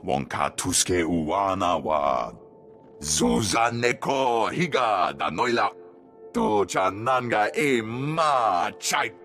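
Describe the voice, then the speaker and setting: A man voicing an alien elder in a video game, speaking an invented tongue in a measured, swooping way. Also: lowish voice, expressive, a bit bright, faint music behind, heavily acted. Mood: grave, instructive.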